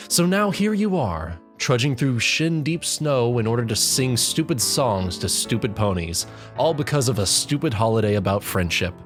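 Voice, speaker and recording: A narrator reading a comic story in the second person in a wry, exasperated way, with music behind. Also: mid-range voice. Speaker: fan fiction narrator.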